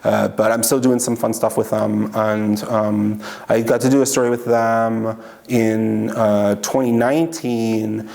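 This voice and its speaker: A man's voice: fairly low pitch, level tone, unhurried, gravelly, a little mellow. A man in an oral history interview, talking casually and rambling with many fillers and restarts. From Washington state.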